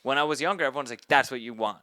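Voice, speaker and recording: A man's voice: medium pitch, racing, pushed and bright, no room sound, studio quality. A man in his twenties hosting a podcast, talking in a candid, reflective way. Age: 20s. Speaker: podcast host. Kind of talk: casual podcast conversation.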